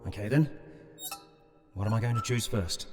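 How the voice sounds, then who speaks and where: An actor playing a brave, gentle tin man in a fantasy audio drama, earnest and a little hesitant. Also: fairly low pitch, moderate pace, natural voice. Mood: brave but anxious. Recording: hall reverb, music underneath.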